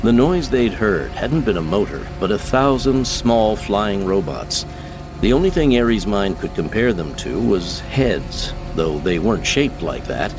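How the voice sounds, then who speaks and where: A man's voice: on the low side, animated, unhurried, over music. A man giving scripted, dramatic narration of science fiction as an audiobook narrator.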